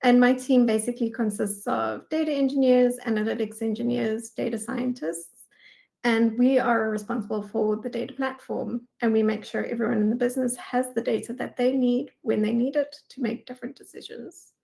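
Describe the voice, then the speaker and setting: Creaky, higher-voiced South African woman presenting. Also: fairly even intonation, normal speed, warm tone, poor audio. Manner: informal, conversational. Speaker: guest speaker, data engineering manager. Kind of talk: prepared webinar presentation.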